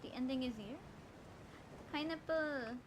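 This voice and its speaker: High-voiced woman streamer, playful and reactive. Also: unhurried, background noise. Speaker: game streamer. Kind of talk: casual livestream commentary while playing. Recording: game music under the voice.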